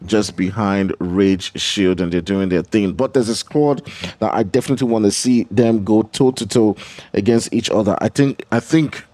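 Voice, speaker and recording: A man's voice: lowish voice, darkish tone, no room sound. A man with a Nigerian accent doing live esports commentary, laid-back and joking. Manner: laid-back, joking, fills pauses with hums. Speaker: esports caster.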